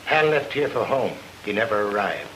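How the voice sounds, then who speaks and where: A man's voice: lowish voice, lively intonation, normal speed, lightly acted. An actor playing a worried, formal, well-to-do older father in an old-time radio drama. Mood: worried, courteous. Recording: noisy old radio recording.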